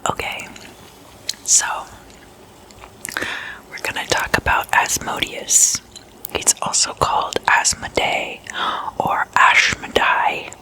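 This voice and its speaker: An ASMR host speaks in a hushed whisper with a very bright, sibilant edge. The delivery is unhurried and intimate, like casual chat with a friend at a slumber party. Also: poor audio. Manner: intimate, addressing the listener as a guest.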